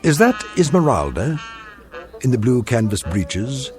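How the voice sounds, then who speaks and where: An actor playing a proud, flowery Elizabethan playwright, declaiming grandly in archaic phrases. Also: male voice, medium pitch, big pitch swings, measured pace. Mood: puzzled, dismayed. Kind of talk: theatrical TV drama acting. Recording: music under the dialogue.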